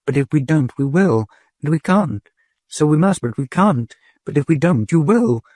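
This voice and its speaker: A man's voice: on the high side, animated, normal speed, darkish tone, no echo, studio quality, pitched above the performer's own voice. A man voicing a male patrol robot that reasons in circles, repeating itself over and over. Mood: looping. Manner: repetitive, looping reasoning. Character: male patrol robot caught in a logic loop.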